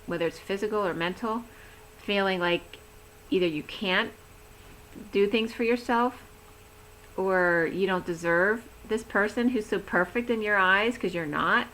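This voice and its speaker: A woman giving a rambling, chatty tarot reading, talking with a voice that is going hoarse. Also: medium pitch, pushed and bright, a little hiss or hum, poor audio. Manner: chatty, rambling, laughs easily. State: losing her voice. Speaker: tarot reader. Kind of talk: casual tarot reading to camera.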